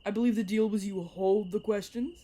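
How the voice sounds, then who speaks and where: A woman's voice: medium pitch, steady tone, brisk, dark tone, close to an everyday voice. A woman voice actor playing a playful, sarcastic young vampire mentor who chats and teases in an audio roleplay. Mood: casual, wry. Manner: teasing, sarcastic, chatty. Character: playful, teasing vampire mentor, turned at nineteen. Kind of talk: narrative audio roleplay, one-sided conversation.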